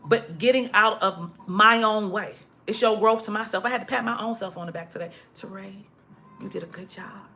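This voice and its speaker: Preachy woman with a bright voice. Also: medium pitch, normal speed, faint music behind. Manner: preachy, emphatic, repeats herself. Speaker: motivational speaker. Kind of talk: casual motivational talk to followers. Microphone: phone.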